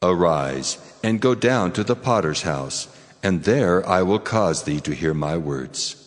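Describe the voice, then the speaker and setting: A man's voice: sits low, lively intonation, a little slow. A man playing God in a dramatized scripture reading, stern, commanding, solemn and declamatory. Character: the Lord God, deep male voice, stern and commanding. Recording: long cavernous reverb.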